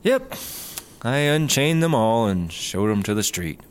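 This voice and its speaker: Audio comedy sidekick: hapless, sheepish young-startup bumbler, animated mid-pitched delivery. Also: male voice, measured pace. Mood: defiant. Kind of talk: comedy audio drama acting.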